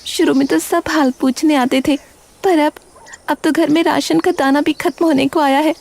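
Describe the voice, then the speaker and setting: A woman's voice: high voice, steady tone, normal speed, gravelly, darkish tone. A woman playing a devoted, emotional young daughter in a cartoon drama. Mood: sad. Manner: earnest, emotional. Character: devoted young daughter, grieving yet determined. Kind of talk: Hindi cartoon drama acting.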